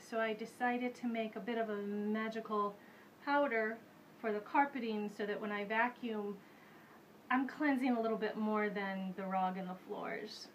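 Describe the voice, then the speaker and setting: A woman's voice: on the high side, a little hiss or hum, rough recording. Chatty woman demonstrating in her kitchen. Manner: chatty, relaxed, thinks aloud with many fillers. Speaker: host of a home witchcraft show. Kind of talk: casual how-to demonstration. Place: home kitchen, indoors. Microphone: camera mic at medium distance.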